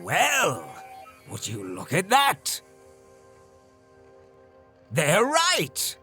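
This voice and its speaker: A man voicing a wary, scholarly professor in a fan dub, with a deliberate, musing and expressive delivery. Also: high voice, slightly rough, exaggerated voice. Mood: amazed. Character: wary, scholarly professor, curious but skeptical. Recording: music under the voice.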